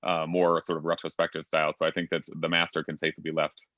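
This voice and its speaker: A man moderating a casual panel discussion, talking quickly and reading out chat comments. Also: very deep voice, monotone, fairly bright tone, dry recording, muffled recording.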